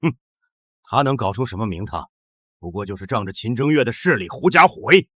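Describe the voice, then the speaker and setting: A man playing a scornful, greedy but wary middle-aged father with a husky voice in a short drama. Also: fairly low pitch, big pitch swings, a little fast, a bit bright, no room sound, studio quality, full character voice.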